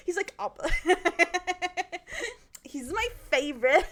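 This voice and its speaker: A woman's voice: very high-pitched, big pitch swings, extremely slow, a bit bright, soft background music. An Australian woman chatting casually as a streamer, rambling and opinionated with plenty of swearing. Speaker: streamer reacting to a video. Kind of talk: casual live reaction chat.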